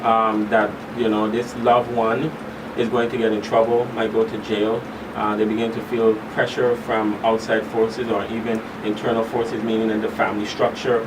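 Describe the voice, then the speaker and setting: A man speaking as an expert guest in a radio interview, explaining in a halting, deliberate way and repeating phrases for emphasis. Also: lowish voice, level tone. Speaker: expert guest, school counselor. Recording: distant mic, clear hum and background noise.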